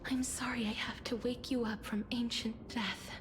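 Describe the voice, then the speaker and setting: A woman playing a gentle, solemn young woman who tends to death in a video game, speaking softly and often in a whisper. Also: fairly high pitch, flat delivery, moderate pace, natural voice. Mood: tender, sorrowful. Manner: soft, breathy, often whispering. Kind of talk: dramatic video game voice acting. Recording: game music behind the voice.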